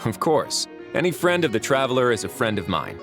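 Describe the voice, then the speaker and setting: Confident anime-game nobleman, casual and dryly amused, animated pitch, light music underneath. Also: fairly quick. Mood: wry, amused. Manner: dry, casual, teasing. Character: laid-back wry prison-warden duke, confident adult man.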